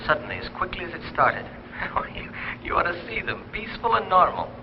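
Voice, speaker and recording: An actor playing an excited research colleague telephoning in a 1950s radio drama, speaking in hesitant bursts. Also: male voice, high voice, a little fast, vocal fry, full character voice. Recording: reverberant, dull, noisy old radio recording.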